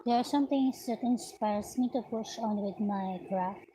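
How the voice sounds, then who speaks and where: A woman vlogging about her crochet work in an unhurried, chatty way, her voice slightly raspy. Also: a little high, dark tone, a little hiss or hum, poor audio. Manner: unhurried, chatty, talks while working. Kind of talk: slow casual vlog narration while crocheting. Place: at home, indoors.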